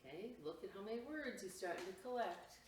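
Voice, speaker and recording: A woman reading a picture book aloud to children as a storytime reader, expressively and with pauses, adding simple explanations for young listeners. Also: mid-range voice, expressive. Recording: roomy, distant mic, faint noise.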